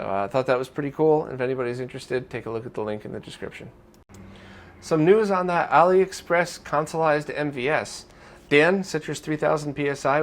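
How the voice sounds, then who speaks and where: A man hosting a weekly news roundup in a casual, conversational and informal way. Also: medium pitch. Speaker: host of a weekly retro gaming news roundup.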